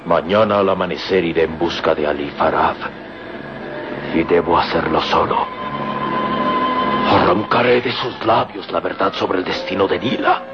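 A man's voice: low-pitched, moderate pace, pauses often, over music, close to an everyday voice. An actor playing a brooding, vengeful man in love in a melodramatic vintage radio serial, solemn and impassioned. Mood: solemn, resolved. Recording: muffled old radio recording.

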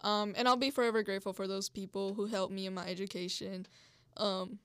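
A woman's voice: medium pitch, fairly even intonation, a little fast, fairly bright tone, clean tone, no echo. A young woman raised in Mexico, a native Spanish speaker, co-hosting a podcast and telling her story in candid, filler-heavy casual chat. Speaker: podcast co-host.

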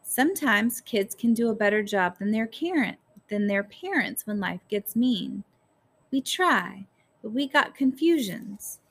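A woman's voice: mid-range voice, expressive, unhurried. A woman voicing a kindly, worn shelter resident with missing teeth in an audiobook, folksy and consoling.

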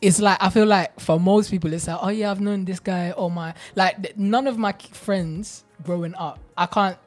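A young adult from Jamaica, telling stories in a casual podcast chat, animated and laughing a lot, with plenty of slang. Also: mid-range voice, normal speed, soft background music.

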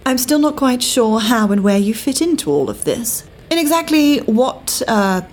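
Cool British lady spy, genteel and high-pitched played by an actress. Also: expressive, moderate pace, darkish tone, slight background noise, natural voice. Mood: brisk, arch. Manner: genteel, dry, arch. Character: cool, worldly 1930s British government agent woman. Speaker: actor in audio drama. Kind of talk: full-cast audio drama acting.

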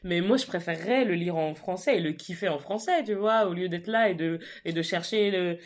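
A woman's voice: lowish voice, fairly quick. A woman hosting a casual book chat, talking in a slangy, chatty way with many fillers and restarts. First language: French. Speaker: podcast host.

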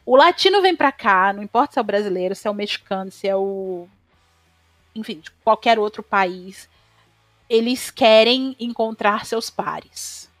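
Animated Brazilian woman chef, opinionated. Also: medium pitch, moderate pace, dry recording, faint music behind. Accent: Brazilian Portuguese. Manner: animated, opinionated, rising sharply when emphatic. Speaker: chef, guest. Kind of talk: casual conversation.

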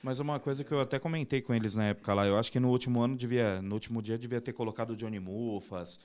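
A man hosting a podcast, relaxed and friendly, talking with casual slang. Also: mid-range voice, racing. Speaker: podcast host. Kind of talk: casual interview chat.

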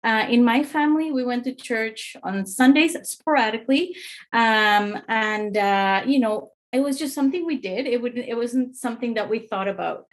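Woman in her forties from Mexico, higher-pitched, frank and thoughtful, as a podcast guest. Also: normal speed. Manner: reflective, frank, with fillers. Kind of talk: casual personal testimony.

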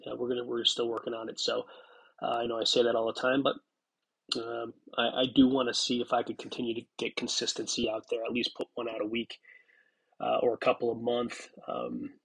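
A husky man in his forties talks through a solo podcast episode. He sounds stuffy from a cold and now and then clears his throat. He rambles reflectively, full of fillers and restarts. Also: mid-range voice, brisk, a bit bright, low-quality recording. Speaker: podcast host. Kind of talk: casual solo podcast monologue.

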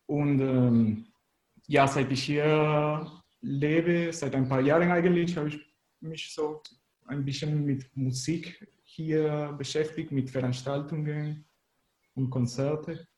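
Man, Venezuelan Spanish accent, slow hesitant delivery, slightly high pitch, casual interview guest, searching for words. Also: fairly even intonation, a little mellow, echoey room, poor audio. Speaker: interview guest, musician and graphic designer.

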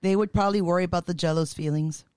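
A woman's voice: on the low side, fairly even intonation, fast, darkish tone, no echo, studio quality. A woman of thirty-eight co-hosting a podcast, wry and teasing in casual chat. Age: 30s. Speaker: podcast co-host.

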